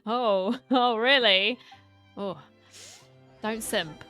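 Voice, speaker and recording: A woman's voice: fairly high pitch, drawn-out words, pushed and bright, soft background music. A woman game streamer giving casual, chatty, informal commentary as she reacts to a game.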